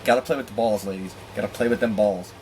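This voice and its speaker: A man with a slightly low voice tells a personal story in a frank, foul-mouthed style. He acts out dialogue in an animated, exasperated manner. There is faint room noise behind him. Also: fast talker, rough recording. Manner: animated acting-out of quoted dialogue, exasperated asides, heavy swearing. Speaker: storyteller and host. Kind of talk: candid, profane personal storytelling.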